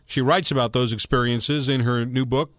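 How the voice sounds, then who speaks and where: A man's voice: medium pitch, steady tone, normal speed, a bit bright, dry recording. A man hosting a radio interview, conversational and joking with his guest. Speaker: radio talk show host. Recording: dry studio sound.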